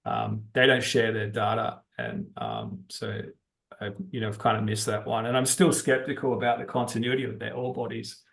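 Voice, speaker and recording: A man giving unscripted explanations as an interview guest, talking haltingly as he thinks aloud. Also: lowish voice, expressive, gravelly, a bit bright. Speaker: interview guest, geology-minded stock investor.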